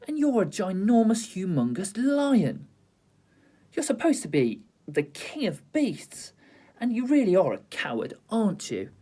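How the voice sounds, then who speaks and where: A man reading aloud and voicing a protective young girl who scolds loudly, in a storybook reading. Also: huge pitch swings, a little slow, exaggerated voice. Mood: scolding. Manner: scolding, high and loud.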